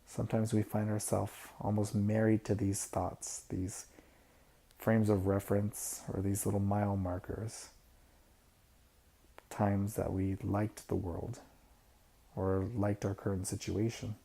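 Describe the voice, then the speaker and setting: Male meditation guide: low, very dark and mellow, gravelly fry, soft and unhurried. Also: flat delivery. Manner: soft-spoken, unhurried instruction with pauses.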